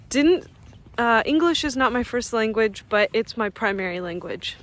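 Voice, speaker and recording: A young woman from Russia, Russian-speaking from birth, vlogs as she walks outside on a campus. Her voice sits a touch high and she takes her time, chatty and laughing now and then. Faint traffic hums behind her. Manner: chatty, addresses viewers as guys, laughs often. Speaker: vlogger. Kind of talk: casual vlog walk-and-talk. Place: outdoors on a university campus.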